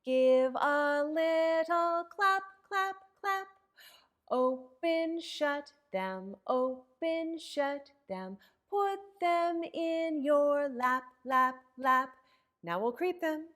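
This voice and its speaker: A woman's voice: sits high, extremely slow, a bit bright. A woman hosting a children's story time, speaking in a singsong, encouraging way with simple words and an exaggerated, dramatic delivery. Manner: simple, encouraging talk aimed at young children.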